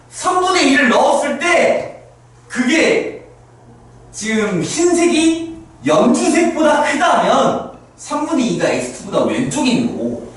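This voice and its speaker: A man around thirty teaching math to high school students in a classroom, talking them through each step and checking whether they understood. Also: a little hiss or hum. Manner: talks students through steps, asking "understood?" after each point. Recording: very echoey classroom, mic far off.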